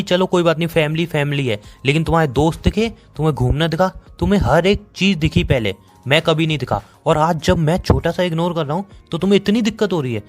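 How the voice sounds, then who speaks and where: A man's voice: on the high side, close to an everyday voice. A man with an Indian accent playing a sarcastic, dismissive young boyfriend in a phone-call romance drama. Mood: resentful. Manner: sarcastic, dismissive, rapid-fire. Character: bitter, sarcastic young boyfriend fed up with his girlfriend.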